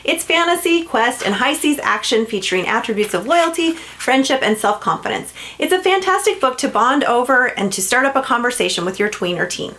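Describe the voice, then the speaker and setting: High-pitched, animated woman pitching products. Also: brisk, hardly any pauses. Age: adult, parent of a school-age child. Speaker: children's book seller and reading coach. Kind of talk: upbeat scripted promotional narration.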